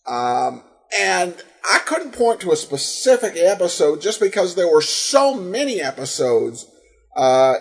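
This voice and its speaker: A man hosting a podcast, giving unscripted commentary and listener feedback in a halting way full of ums and uhs. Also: a little high. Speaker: podcast host.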